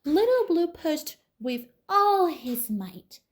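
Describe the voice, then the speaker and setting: A woman reading a children's storybook aloud as a toddler teacher, in an expressive manner. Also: high voice. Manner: slow, animated, expressive.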